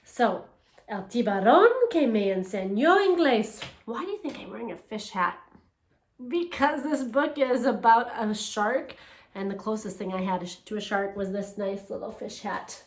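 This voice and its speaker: A woman teacher reading a picture book aloud to children in English and then Spanish, pausing to ask them questions. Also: mid-range voice. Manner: expressive storytelling, asks children questions. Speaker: elementary school teacher. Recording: echoey room.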